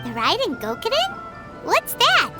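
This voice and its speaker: A woman voicing a tiny, bubbly, curious fairy companion in a video game, with a squeaky, childlike, expressive delivery. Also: unhurried, exaggerated voice. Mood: puzzled. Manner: exclamatory, expressive, speaks as "we". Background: loud game music.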